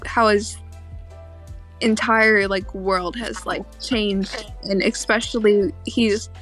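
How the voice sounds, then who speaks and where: A woman's voice: medium pitch, fairly even intonation, slow, a bit bright, faint music behind, rough recording. A woman co-hosting a podcast, talking in a casual fan discussion. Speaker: podcast co-host.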